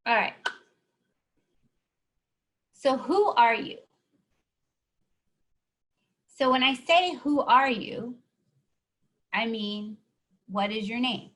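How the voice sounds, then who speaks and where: A woman's voice: a little high, takes it slowly, pauses often, fairly bright tone. A woman presenting a workshop, encouraging and explaining step by step, often checking in with the audience. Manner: encouraging, keeps asking "right?". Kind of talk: teaching a live online workshop.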